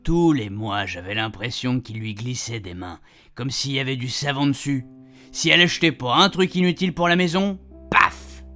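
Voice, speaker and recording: Man as old grandpa, cheeky, chatty, colloquial rambling storyteller, radio fiction, mid pitch, music underneath. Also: moderate pace, bright, forceful tone. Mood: teasing, fond. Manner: rambling, colloquial, repeatedly checking his puns landed. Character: chatty retired grandpa, cheeky, self-amused, homespun storyteller.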